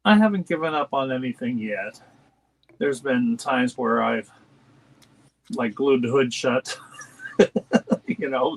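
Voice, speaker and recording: A man co-hosting a model-building livestream, talking in a calm, easygoing way. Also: medium pitch, breathy edge, poor audio. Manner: calm, easygoing, laid-back. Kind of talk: casual workbench chat.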